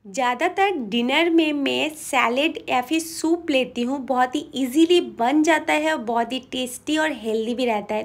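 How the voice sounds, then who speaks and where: A woman in her twenties vlogging her day at home, chatty and homely, a little feverish and coughing. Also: sits high. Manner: chatty and homely, mixing in English words. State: coughing a bit, slightly feverish. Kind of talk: casual chat to camera. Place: bedroom at home.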